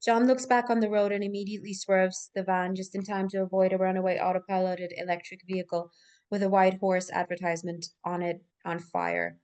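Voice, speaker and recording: Woman reading out stage directions at a script table read, normal mid pitch, descriptive narration. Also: a little fast, a little mellow, clean tone.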